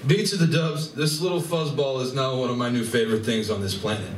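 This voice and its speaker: A man's voice: mid-range voice, fairly quick, close to an everyday voice. A man playing a crude, amused animal character in a radio play, with a slangy way of talking. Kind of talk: live convention radio play reading. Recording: distant, echoey room with noise.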